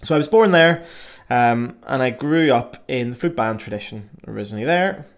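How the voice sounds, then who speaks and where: A man's voice: mid-range voice, expressive, moderate pace. Young male podcast host, Northern Irish Belfast accent, mid-range voice, loose and joking delivery. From Northern Ireland. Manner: chatty and self-mocking, with frequent fillers. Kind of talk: casual solo podcast monologue.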